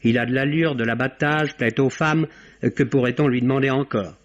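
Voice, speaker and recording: A middle-aged man reading a polished, measured film review on an old radio broadcast. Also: mid-range voice. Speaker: film critic. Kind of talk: scripted spoken review. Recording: dull old radio recording.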